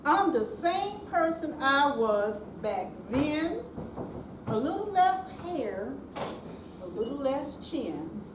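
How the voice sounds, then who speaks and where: Very slow, high-voiced older woman, echoey room. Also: very animated. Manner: slow, deliberate, theatrical delivery. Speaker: amateur readers theater performer. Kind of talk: dramatic poetry and script reading on stage. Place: senior center room, live reading. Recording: echoey room, distant mic, clear noise.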